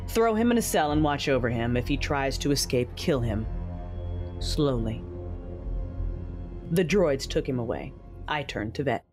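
Guy narrating sci-fi audio drama, cold menacing measured voice, music underneath. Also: medium pitch, lively intonation. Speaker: first-person narrator and dark side commander.

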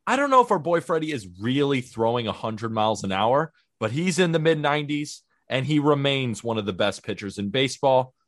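A man's voice: mid-range voice, brisk, hardly any pauses, no echo, clean studio sound. Upbeat male baseball podcast co-host. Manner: upbeat, energetic and positive. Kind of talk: casual sports podcast chat.

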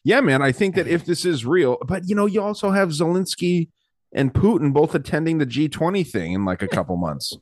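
A man's voice: on the high side, big pitch swings, a little fast, no echo, clean studio sound. A man hosting a podcast in casual chat, easygoing and hesitant, with many fillers. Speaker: podcast host.

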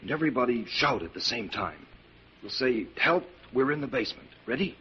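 An actor playing a grave doctor held captive and facing doom, in 1940s radio style. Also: male voice, mid-range voice, animated, a little fast, natural voice. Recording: very noisy, muffled 1940s radio recording.

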